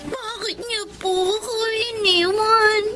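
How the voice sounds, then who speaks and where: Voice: extremely high pitch, fairly even intonation, slow, noisy background. A performer voicing a polite, pleading young girl who longs for her father in a radio drama. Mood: tearful.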